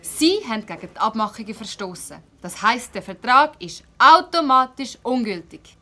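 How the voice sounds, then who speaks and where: A firm, emphatic village mayor from a children's audio drama, acted by a woman. Her voice is sharp and bright with lively swings in pitch. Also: normal speed, exaggerated voice. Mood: triumphant. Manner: declarative, emphatic.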